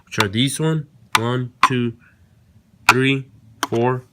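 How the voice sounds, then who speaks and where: A man's voice: mid-range voice, takes it slowly. A man hosting a car installation tutorial, explaining each step casually and informally, repeating himself as he goes. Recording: noisy, clicks and vehicle sounds behind.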